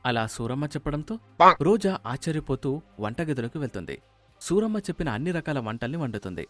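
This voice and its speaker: A man's voice: mid-range voice, big pitch swings, brisk, darkish tone, no room sound, faint music behind. A man narrating a scripted story as its storyteller.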